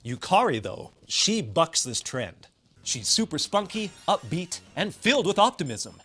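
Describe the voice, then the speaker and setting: A man's voice: a little high, big pitch swings, unhurried, pushed and bright, husky. A man delivering scripted video game commentary in a sardonic, wry way with exaggerated emphasis.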